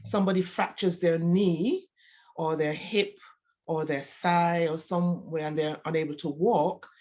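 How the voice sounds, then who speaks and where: A woman with a Jamaican accent speaks in a fairly low register, as an interview guest giving personal, explanatory answers. She talks as a health professional would about their work. The recording is a little muffled and lo-fi. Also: steady tone, a little slow, darkish tone. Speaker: physiotherapist guest.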